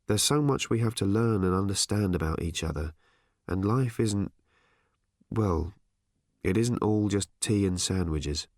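A man's voice: mid-range voice, very animated, normal speed, no room sound, studio quality, natural voice. A man voicing an awkward, mild father in an audiobook, bewildered and stammering as he reasons with his daughter. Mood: gentle, awkward. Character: bewildered, well-meaning, awkward father of a teenage girl.

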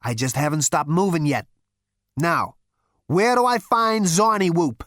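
Lady voicing a pushy cocky spaceman dude, loud and brash, dry mic. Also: lowish voice, unhurried, no room sound, voice pushed up. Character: brash, swaggering hipster male space adventurer.

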